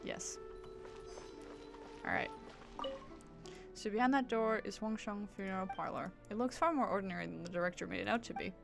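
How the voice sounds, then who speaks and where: A woman's voice: medium pitch, animated, moderate pace, creaky, long pauses. A woman streamer giving casual, reactive game commentary with wry, sarcastic asides. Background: game music.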